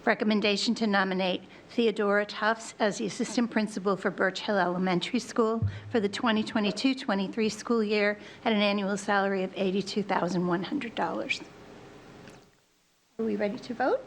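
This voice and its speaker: A woman chairing a school board committee meeting, reading motions in a formal, brisk way. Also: mid-range voice, steady tone, slight background noise, rough recording. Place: meeting room.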